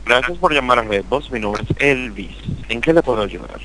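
A man's voice: medium pitch, lively intonation, normal speed. A man working as a customer service agent on the phone, speaking formally and questioning insistently. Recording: noisy telephone line.

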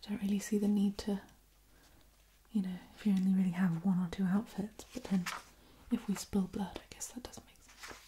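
A woman's voice: medium pitch, level tone, a little fast, mellow, a little hiss or hum, lightly acted. A woman playing a puzzled, literal-minded undead vampire, musing hesitantly to herself in a whispered ASMR roleplay. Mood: musing, doubtful.

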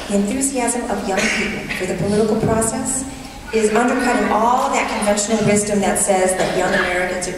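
A middle-aged woman giving a formal commencement address in an earnest, measured way with touches of humor, speaking at a podium on an auditorium stage. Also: medium pitch. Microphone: podium microphone heard from the hall. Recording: distant echoey hall recording with noise.

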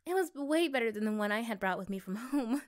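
A woman playing a gentle, affectionate young girlfriend in an ASMR roleplay, speaking softly and hesitantly with tender pauses. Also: on the high side, animated, close to an everyday voice. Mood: fond, reminiscing. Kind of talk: ASMR roleplay, intimate one-sided dialogue.

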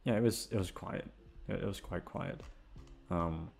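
A young British man chatting casually and hesitantly, meandering, repeating words and gently mocking himself. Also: low-pitched, normal speed, vocal fry. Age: young adult. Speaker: voice actor talking to listeners. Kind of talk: casual rambling chat.

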